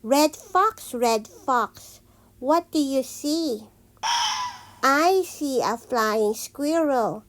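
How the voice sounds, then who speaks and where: Lady teacher reading a kids picture book out loud, high pitched, super slow n sing-songy. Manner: slow, deliberate, sing-song repetition. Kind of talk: read-aloud of children's picture books.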